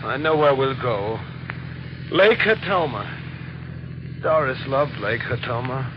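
An actor playing a polite, controlled, menacing killer with a gun in a 1940s radio mystery. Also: male voice, medium pitch, expressive, takes it slowly. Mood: cold, commanding. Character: coolly menacing male killer holding a gun. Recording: dull, noisy old radio recording.

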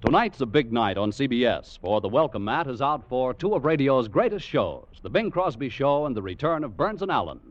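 A man's voice: mid-range voice, very animated, brisk, hardly any pauses, no room sound. An American man reading scripted promos as a 1940s radio announcer, in a polished, emphatic showman style. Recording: muffled vintage radio recording.

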